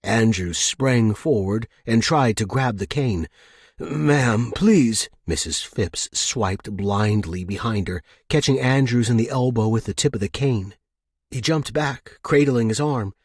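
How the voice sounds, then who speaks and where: A man reads suspense fiction as an audiobook narrator. His delivery is slow, measured and dramatic, with a slightly husky edge. The recording is dry, with no room sound. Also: fairly low pitch, no echo.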